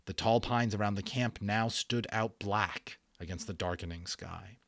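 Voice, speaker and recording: A man reading a story aloud as a dramatic, expressive narrator, with casual explanations for listeners. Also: lowish voice, unhurried, creaky, no room sound. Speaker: audiobook narrator and channel host.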